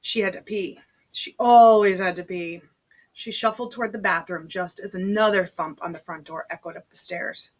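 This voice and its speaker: Chatty woman reading a novel aloud, slightly husky. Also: medium pitch, steady tone. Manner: informal, conversational, pausing to comment to the listener. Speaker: amateur read-aloud reader.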